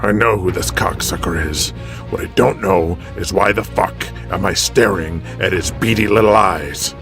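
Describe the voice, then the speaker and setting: A man portrays a hot-headed wrestling owner in an audio drama. He is coarse and combative, with a gravelly, lowish voice. Faint music plays behind him. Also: normal speed. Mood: furious, defiant. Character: foul-mouthed, hot-headed, belligerent male wrestling company owner.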